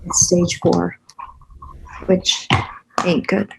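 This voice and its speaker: A woman's voice: fairly low pitch, flat delivery, drawn-out words, vocal fry, noisy background, exaggerated voice. A woman acting a tough, weary, guarded single mother in a naturalistic screenplay read, blunt and sardonic. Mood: guarded, heavy. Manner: blunt, sardonic, plain-spoken.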